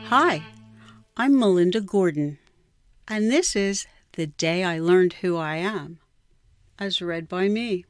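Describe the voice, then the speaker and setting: Low-voiced older woman narrating a memoir. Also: slow, long pauses, no echo, faint music behind. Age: 60s. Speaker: author reading own memoir. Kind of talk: scripted first-person story narration.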